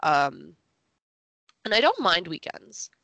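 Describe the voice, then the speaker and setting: A young woman co-hosts a casual podcast in a chatty, informal way. Her voice sits a little low and is thick with morning gravel. The sound is dry and close. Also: sing-song, takes it slowly, bright, forceful tone, no room sound. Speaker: podcast co-host. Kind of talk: casual chat.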